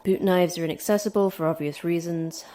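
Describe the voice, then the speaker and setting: A woman's voice: fairly low pitch, fairly even intonation, a little fast, dark tone, a little hiss or hum, lightly acted. A woman playing an injured, stubborn fighter in an audio drama, terse, dry and defiant. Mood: dry, strained. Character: injured, concussed, stubborn female fighter trapped by a monster.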